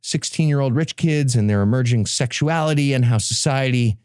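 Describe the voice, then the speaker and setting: A man's voice: medium pitch, moderate pace, a little mellow, clear, resonant tone, no echo, studio quality. A sardonic, emphatic man presenting a comic podcast summary, swearing freely.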